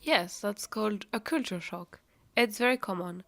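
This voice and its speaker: A woman's voice: medium pitch, normal speed, gravelly, fairly bright tone, no room sound. A twenty-four-year-old woman from France co-hosting a podcast, speaking in an informative, matter-of-fact way. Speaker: podcast co-host.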